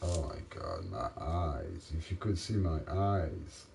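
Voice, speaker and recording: A man, tired after a late night, rambling haltingly to the camera in a self-mocking way. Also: big pitch swings, creaky, a little mellow, slight background noise. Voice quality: deep voice. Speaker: vlogger. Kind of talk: casual rambling monologue.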